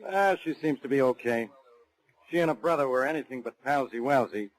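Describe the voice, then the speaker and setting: Man acting a gruff radio police inspector. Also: medium pitch, a little fast, no room sound, lightly acted, poor audio. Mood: matter-of-fact. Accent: American. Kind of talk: 1940s radio crime drama acting. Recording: restored 1940s radio recording.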